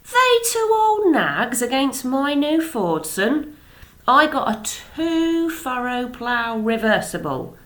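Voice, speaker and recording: A boastful male farmer, cocky and dismissive, as a woman reads him aloud. He scoffs at his rival with loud, lively swings of tone. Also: high-pitched, slow, voice pushed up, heavily acted. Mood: scoffing.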